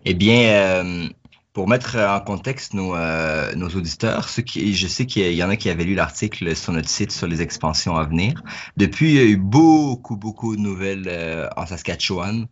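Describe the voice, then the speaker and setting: Deep-voiced man co-hosting a podcast. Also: normal speed. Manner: frequent fillers, explanatory. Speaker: podcast co-host. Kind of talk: casual chat. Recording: dull lo-fi audio.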